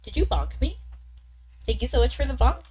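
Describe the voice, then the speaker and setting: A woman's voice: high voice, slight background noise. A young woman streaming a game and chatting casually with viewers, bubbly and chatty, a little congested from being sick. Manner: bubbly, chatty, quick bursts of excitement. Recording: faint background game music.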